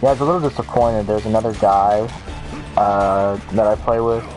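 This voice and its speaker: A man with a fairly low voice chats his way through a video game. He rambles from one joke to the next and pokes fun at himself, with faint game music running behind him. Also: fairly even intonation, moderate pace, a little mellow, poor audio. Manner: rambling, joking, self-deprecating. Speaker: let's play gamer. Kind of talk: casual gameplay commentary.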